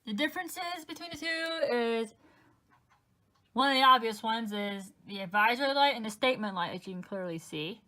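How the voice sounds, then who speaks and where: Chatty young woman with a high voice. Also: expressive, normal speed, bright, forceful tone. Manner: chatty, counting items aloud. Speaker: weather radio collector. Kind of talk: casual show-and-tell vlog.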